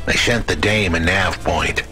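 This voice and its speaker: A man playing a hard-boiled noir detective in a game, narrating himself in a brooding, deadpan manner. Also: sits low, a little slow, faint music behind.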